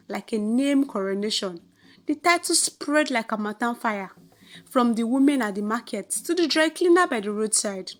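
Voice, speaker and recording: Female Nigerian storyteller, higher-pitched, expressive and theatrical, dramatic folktale narration over soft music.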